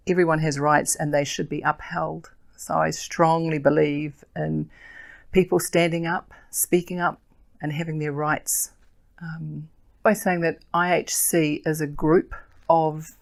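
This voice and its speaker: Older kiwi woman, earnest advocate, lots of ums, low creaky voice. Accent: New Zealand. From New Zealand. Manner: earnest and measured, with frequent fillers. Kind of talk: interview answers.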